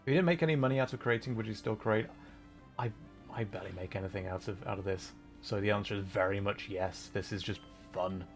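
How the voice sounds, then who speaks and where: A man with a fairly low voice talks casually, as a livestreamer does while playing a game. He thinks aloud in a halting, rambling way, moving between chat and game commentary. Music plays in the background. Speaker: livestreamer, VTuber and content creator.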